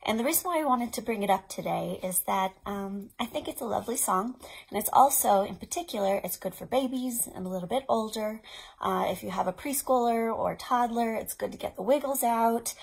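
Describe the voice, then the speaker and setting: American lady in her 30s doing kids storytime, husky fry in her voice, encouraging and explainy. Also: fairly high pitch, fairly quick, poor audio. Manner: encouraging, instructional, addressing young children and parents. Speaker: children's librarian, storytime host. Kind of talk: children's storytime presentation. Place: small play tent indoors.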